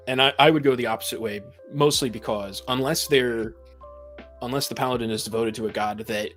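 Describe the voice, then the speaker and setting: A man in a casual podcast debate, laying out his opinions in a deliberate way. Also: medium pitch, a bit bright. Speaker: podcast speaker on tabletop gaming.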